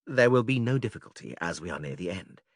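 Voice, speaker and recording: A patient, didactic philosopher leads a formal dialogue step by step. His pitch swings very widely as he questions and instructs. The voice is noticeably husky and recorded close and dry. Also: sing-song, quick pace, no echo, heavily acted. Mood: instructive. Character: male philosopher stranger, patient, methodical, leading an argument. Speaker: audiobook actor reading philosophical dialogue. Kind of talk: dramatised classical dialogue reading.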